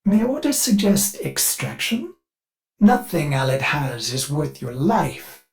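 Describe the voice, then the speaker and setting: A man's voice: on the high side, expressive, slow pace, darkish tone. An actor voicing a polite, eager male ship AI servant in a video game, with a formal, mannered delivery. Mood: earnest, pleading. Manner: mannered, formal, refers to itself in third person. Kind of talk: scripted game character voice lines.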